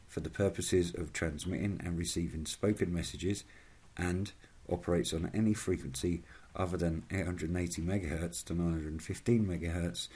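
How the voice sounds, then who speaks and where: A man with a husky voice reading a scripted narration as a channel host, in a formal, measured manner. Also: deep voice, darkish tone.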